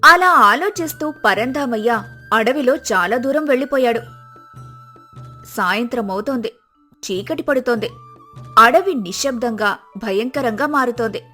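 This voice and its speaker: A woman's voice: a little high, animated, measured pace, long pauses, bright, forceful tone, faint music behind. A woman narrator reading a scripted moral story.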